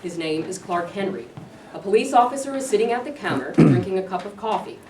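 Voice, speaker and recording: A woman reading stage directions aloud at a staged play reading, plain and measured. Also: medium pitch, big pitch swings. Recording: echoey room, distant mic, background noise.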